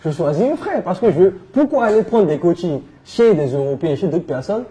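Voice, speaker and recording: Mellow-voiced West African man in interview. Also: high-pitched, sing-song, a little fast. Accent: West African French. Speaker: interview guest, entrepreneur and coach. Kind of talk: conversational interview answers.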